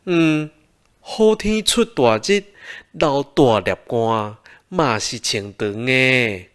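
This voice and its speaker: A man's voice: mid-range voice, lively intonation, takes it slowly, a bit bright, clean tone. An actor playing a puzzled male scientist in a Taiwanese radio drama, querying and objecting. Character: puzzled male scientist questioning his older sister.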